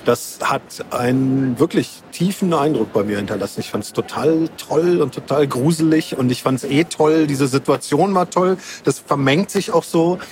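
A man with a German accent, from Germany, chatting casually as an interview guest. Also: medium pitch. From Hanover, Germany. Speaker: comic author and interview guest. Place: book fair. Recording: clear background noise, busy book fair.

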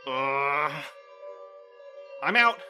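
A man's voice: medium pitch, animated, extremely slow, bright, forceful tone, faint music behind, full character voice. An actor playing an anxious, fussy, proper young male courier with prim, wordy speech in an audio drama. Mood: strained, panicked. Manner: prim, wordy, hesitant phrasing. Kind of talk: full-cast audio drama acting.

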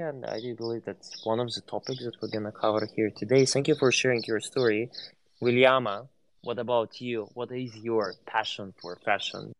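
Host type: a man at middle pitch, gushing and upbeat, inviting guests to speak. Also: a little hiss or hum, poor audio. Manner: enthusiastic, effusive praise. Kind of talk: live audio space discussion.

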